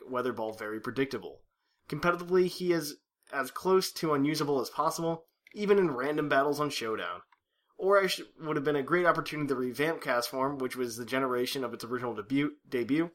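A man's voice: mid-range voice, fairly bright tone. A man co-hosting a podcast, reading a listener's long email aloud and chatting casually with his co-host.

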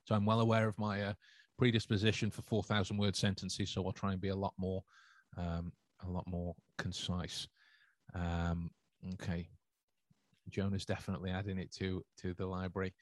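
A man's voice: sits low, level tone, normal speed, no room sound. A man hosting a solo livestream podcast, talking through his thoughts and answering chat with many restarts and fillers. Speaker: podcast host.

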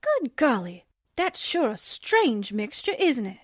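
An actress playing a naive, eager newspaper sidekick in a 1940s-style radio drama, with a folksy, wide-eyed, drawn-out delivery. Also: female voice, a little high, sing-song, no room sound, clean studio sound, exaggerated voice. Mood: wondering. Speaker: voice actor in an audio drama.

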